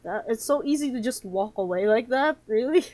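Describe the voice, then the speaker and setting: A woman game streamer giving playthrough commentary and reading game text aloud, with expressive, sarcastic reactions. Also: fairly high pitch, fairly quick.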